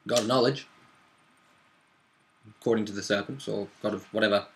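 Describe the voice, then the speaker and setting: Male player in a game recap; bright, forceful voice, casual and blunt, loose slangy phrasing. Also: lowish voice, fairly even intonation, a little fast. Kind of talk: casual chat.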